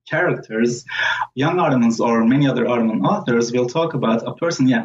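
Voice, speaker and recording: A man speaking as a scholarly interview guest, explaining things in a thoughtful, measured way with pauses. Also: mid-range voice, darkish tone. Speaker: guest author and historian. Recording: dull lo-fi sound, faint background noise.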